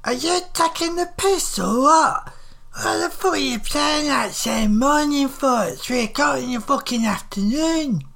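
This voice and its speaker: An indignant old Northern English woman. Her voice is high and swoops up and down as she berates people. She is confrontational, sweary and bossy. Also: sing-song, a little slow, fairly bright tone, exaggerated voice. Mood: livid. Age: older. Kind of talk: audiobook character voice.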